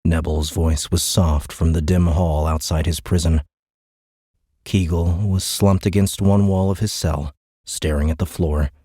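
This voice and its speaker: A man's voice: sits low, steady tone, measured pace, dark tone, husky, no echo, studio quality. A man reading a science fiction audiobook in scripted dramatic narration.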